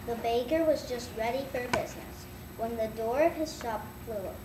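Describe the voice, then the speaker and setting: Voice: medium pitch, a little fast, far from the mic. A child of about eight or nine reading scripted story narration in a school readers' theater. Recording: echoey, noisy room from afar.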